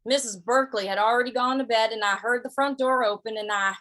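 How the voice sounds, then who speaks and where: A woman's voice: on the high side, fast, fairly bright tone, lightly acted. A woman acting a plain-spoken, stubborn but easygoing cowpoke in a 1950s-style Western radio drama, with folksy phrasing. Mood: wry, weary narrating.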